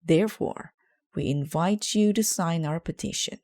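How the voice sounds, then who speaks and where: Woman reading as a slow, pedantic brainy schoolboy. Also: on the low side, warm tone, natural voice. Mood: earnest lecturing. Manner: formal, explanatory. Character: brainy earnest schoolboy lecturer, pedantic and wordy. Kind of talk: children's storybook read aloud.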